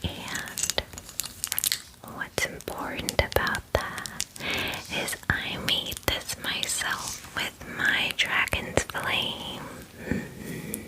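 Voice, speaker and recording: A woman voicing a bubbly, friendly female dragon in an ASMR fantasy roleplay, chatting in a soft, breathy, girly whisper with casual filler words. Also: very slow, low-quality recording. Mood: caring, reassuring.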